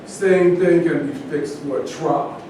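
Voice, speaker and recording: Very echoey, far-off audio of a man playing a veteran boxer full of scorn and taunts. The voice sits a little high, in a staged reading with clear noise. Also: a little slow, natural voice. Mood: scornful, taunting. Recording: echoey noisy room, far from mic.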